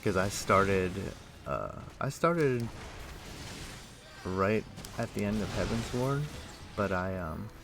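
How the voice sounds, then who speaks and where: A man livestreaming a game, chatting casually and thinking aloud in a rambling, halting way. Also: fairly low pitch, big pitch swings, vocal fry. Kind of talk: casual chat while playing an online game. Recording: music playing behind. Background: music.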